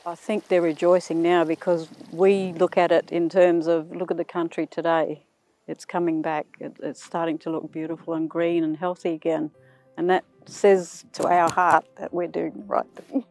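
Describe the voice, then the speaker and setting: A woman's voice: lowish voice, flat delivery, moderate pace, a little mellow. An older woman with an Australian accent speaking reflectively and unscripted in an interview on location. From Western Australia. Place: outdoors in dry bushland. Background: faint music.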